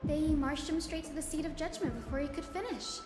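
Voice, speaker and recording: High, echoey young woman storyteller played by an actress. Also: a little fast, natural voice. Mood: recounting, composed. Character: young woman companion recounting a heretical scholar's trial. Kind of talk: scripted game dialogue, storytelling narration. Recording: echoey reverb with music behind.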